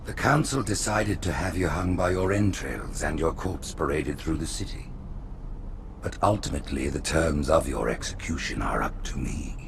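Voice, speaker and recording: An actor playing a regal, scheming old alien prophet who speaks in stately, formal pronouncements in a video game. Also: male voice, a bass voice, normal speed, gravelly, background noise. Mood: cold, dismissive. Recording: loud orchestral game music behind.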